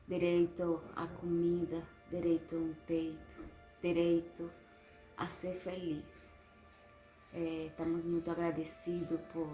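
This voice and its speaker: A woman with a way of speaking gives a documentary testimonial at a very slow, measured pace. Her delivery is flat, and the room echoes around her, with soft music behind. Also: fairly low pitch. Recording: roomy echo, music behind.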